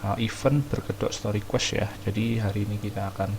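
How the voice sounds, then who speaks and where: A man's voice: fairly low pitch, quick pace, background noise. A young man with an Indonesian accent giving casual, slangy let's play commentary. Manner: informal, slangy. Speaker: gaming YouTuber.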